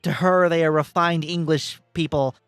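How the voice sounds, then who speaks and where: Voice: mid-range voice, steady tone, moderate pace, clean tone, no echo. A streamer giving casual, irreverent commentary on a game, joking in loose slang.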